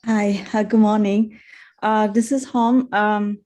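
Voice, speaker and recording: A woman from Myanmar introducing herself in a halting way, repeating words, over a video call. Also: medium pitch, steady tone, dark tone. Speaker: workshop participant.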